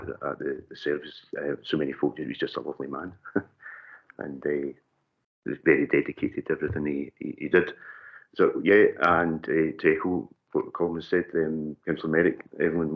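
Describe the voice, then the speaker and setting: A man chairing a council committee meeting, speaking briefly and hesitantly, with fillers. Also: very deep voice. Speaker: committee convener. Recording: thin phone-like far-off mic audio.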